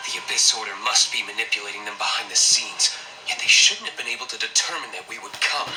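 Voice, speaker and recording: A man playing a serious, reserved and analytical gentleman warrior in an anime fantasy game, measured and understated. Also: lowish voice, background noise, natural voice.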